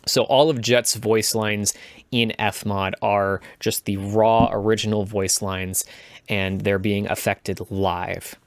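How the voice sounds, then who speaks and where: A man presenting a casual tutorial as a game sound designer, explaining things in a measured way. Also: on the low side, flat delivery, no room sound.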